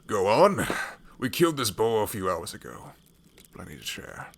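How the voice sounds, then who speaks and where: A man playing an older, wry and worldly wanderer in a fantasy ASMR audio roleplay, with a gravelly, knowing delivery. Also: on the low side, big pitch swings, normal speed, heavily acted. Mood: amused, teasing.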